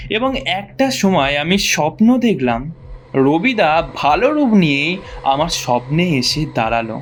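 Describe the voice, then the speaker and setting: A man's voice: on the high side, a little hiss or hum. A man narrating a first-person ghost story from a script in a suspenseful, dramatic storytelling manner.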